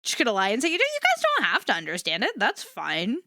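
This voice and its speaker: A woman with a high, very animated voice that is slightly rough at the edges, chatting to her stream audience. She rambles candidly, swears freely and circles back to repeat her points. The sound is close and dry. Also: fast talker, no room sound. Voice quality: versatile voice, swinging between low and high. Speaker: livestreamer chatting with viewers. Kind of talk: casual rambling chat to an audience.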